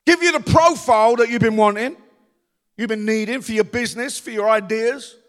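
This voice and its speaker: A man's voice: very high-pitched, a little fast, fairly bright tone. A man from the East End of London with a Cockney accent, preaching a rousing sermon as pastor and calling on the congregation to respond. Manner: rousing, calls for audience response. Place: church. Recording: long church reverb.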